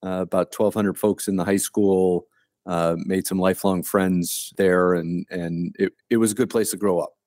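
A man in his fifties from Ohio, a retired Army colonel telling his story as a guest over a remote call, in a plainspoken, unhurried way. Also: deep voice, steady tone, darkish tone, dry recording, studio quality. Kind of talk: casual interview conversation.

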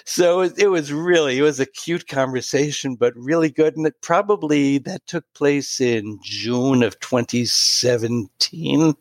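A man in his late sixties telling a personal story in an interview, pausing and restarting often. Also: mid-range voice, no room sound. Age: 60s. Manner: warm, halting anecdotal storytelling with many restarts. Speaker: guest.

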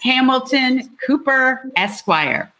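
A woman's voice: sits high, expressive, takes it slowly, pushed and bright, a little hiss or hum. A woman co-hosting a live book talk, switching between chatting and reading aloud. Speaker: author and co-host reading out character fates.

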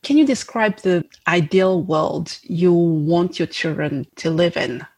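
A woman's voice: on the low side, slow pace, darkish tone, breathy edge. A woman hosting an interview, reading a scripted introduction of her guest and then asking questions. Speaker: interview host.

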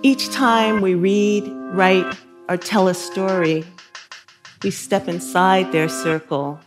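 A woman author from South Carolina speaking in a measured, thoughtful way, with soft laughs between phrases. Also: mid-range voice, fairly even intonation, music in the background. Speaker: guest author. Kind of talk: reflective interview and talk excerpts.

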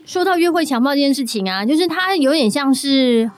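Quick, high-voiced Taiwanese woman hosting. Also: expressive, no echo, faint music behind. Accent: Taiwanese Mandarin. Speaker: podcast host, audio drama producer. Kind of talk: casual podcast chat.

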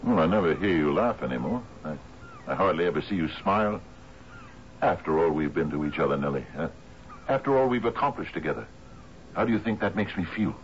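An actor playing a troubled, grieving sea captain in a 1970s radio drama, speaking formally and haltingly. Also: male voice, sits low, animated. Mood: tender, solemn. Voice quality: gravelly. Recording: muffled, noisy old radio broadcast.

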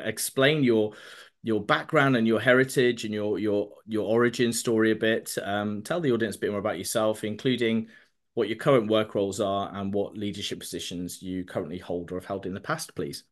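A man with a fairly low voice hosts an interview show. He reads his introduction smoothly, then turns halting and hesitant, circling back through fillers and false starts as he asks his questions. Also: steady tone, fairly bright tone. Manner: hesitant, many restarts and fillers when unscripted. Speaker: podcast host.

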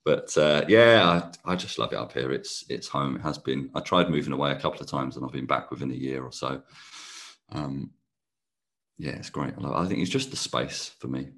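A man from Kent, a podcast guest, talking in a relaxed, enthusiastic way with a slightly croaky voice. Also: a bass voice, fairly quick. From Kent, England. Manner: relaxed, enthusiastic, laughs easily. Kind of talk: casual interview chat.

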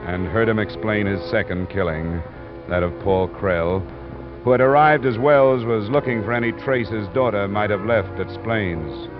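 A man's voice: fairly low pitch, moderate pace, lightly acted. An American man playing a tough, world-weary insurance investigator in an old radio detective drama, speaking in a clipped, dry way. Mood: brisk reporting. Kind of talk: 1940s-50s American radio acting, hardboiled narration and dialogue. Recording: muffled vintage radio recording, music behind.

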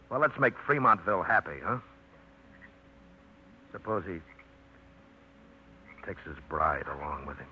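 Smooth-talking young trucker wooing his girl, real low voice, old muffled radio sound. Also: a little hiss or hum. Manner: coaxing, playful. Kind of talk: 1950s radio drama acting. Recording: muffled 1950s radio broadcast.

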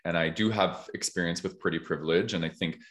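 Low-pitched man hosting a podcast. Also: fairly even intonation, quick pace, fairly bright tone. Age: 30s. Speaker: podcast host. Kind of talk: reflective conversational podcast talk.

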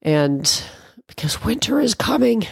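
A woman's voice: a little high, very animated, measured pace, vocal fry, breathy edge, no room sound. A middle-aged woman hosting her own podcast, chatting casually and rambling a bit, with a congested, slightly nasal voice. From Canada. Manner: chatty, rambling, self-deprecating asides. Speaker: podcast host. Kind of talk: casual solo podcast chat.

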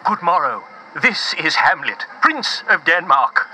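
An actor playing an earnest, grandiose Shakespearean prince, declaiming urgently in archaic style for an audio drama. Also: male voice, a little high, sing-song, unhurried, heavy background noise. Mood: urgent, grand. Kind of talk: mock-Elizabethan audio drama, leaving a phone message.

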